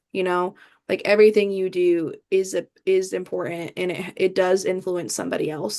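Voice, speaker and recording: A woman's voice: fairly low pitch, darkish tone. A young woman from the American Midwest, answering interview questions in a chatty way, with many fillers and restarts. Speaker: idol performer, guest.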